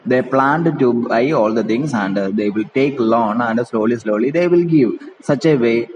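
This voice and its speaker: A man's voice: medium pitch, moderate pace, darkish tone, lo-fi sound. A man with an Indian English accent, teaching by reading a play aloud and explaining it point by point, often repeating and rephrasing. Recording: echoey reverberant room with background noise.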